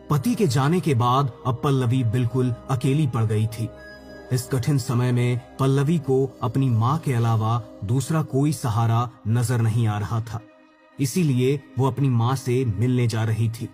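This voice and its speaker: Low-pitched man narrating a horror story. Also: darkish tone. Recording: music under the voice.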